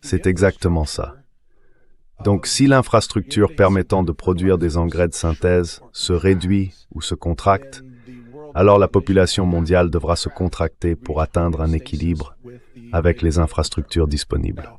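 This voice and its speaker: A low-pitched AI-read male voice plays the part of an expert guest in a dubbed interview. The delivery is didactic, laying out each explanation step by step. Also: steady tone, moderate pace, a little mellow.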